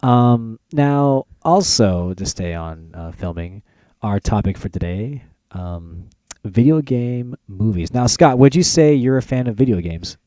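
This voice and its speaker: A man's voice: on the low side, animated, measured pace, warm tone. A man hosting a podcast in casual, informal banter, as if chatting with a buddy. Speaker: podcast host. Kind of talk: casual chat. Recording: roomy echo, faint music.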